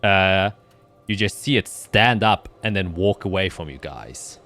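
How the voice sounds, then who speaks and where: A man acting as game master, improvising descriptive fantasy narration in a measured way with pauses as he builds the imagery. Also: low voice, expressive, a bit bright, a little hiss or hum.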